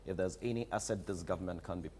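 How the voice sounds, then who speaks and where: A man's voice: low-pitched, fast talker, a little mellow, a little hiss or hum. A man with a Ghanaian accent speaking as an impassioned, emphatic guest in a talk show interview. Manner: emphatic, repeats rhetorical questions. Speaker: theatre practitioner, studio guest. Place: TV studio.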